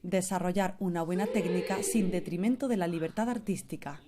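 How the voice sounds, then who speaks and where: Low-voiced woman reading news. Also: brisk, a loud crowd. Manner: formal, steady read. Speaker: news reporter. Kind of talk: scripted news narration.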